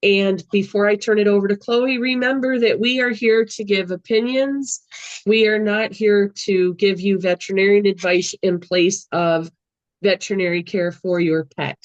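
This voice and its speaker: A woman hosting a pet podcast, opening the show in a slow, formal announcing manner. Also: mid-range voice, steady tone, no room sound. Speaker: podcast host. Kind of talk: scripted podcast intro. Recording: dry, dull lo-fi audio.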